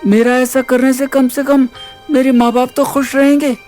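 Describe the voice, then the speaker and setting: Woman acting as hurt young college boy, very high voice, distressed, audio drama, soft music. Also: little pitch movement, moderate pace, close to an everyday voice. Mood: despairing.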